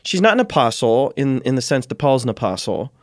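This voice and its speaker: Man explaining as a guest scholar, high-pitched-ish dry close sound. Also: sing-song, a little fast, no echo. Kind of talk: explanatory interview conversation.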